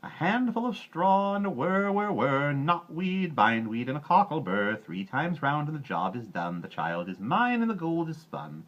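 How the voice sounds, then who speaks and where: A man's voice: fairly high pitch, big pitch swings, normal speed, few pauses, fairly bright tone, dull audio, pitched above the performer's own voice. A man voicing a bizarre little storybook gnome who mocks, wheedles and chants in sing-song rhymes. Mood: gleeful chanting. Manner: sing-song, roaring and crooning with nonsense rhymes.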